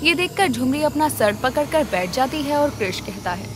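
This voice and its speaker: A woman giving dramatic, scripted narration for a children's animated tale. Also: high-pitched, moderate pace, noisy background.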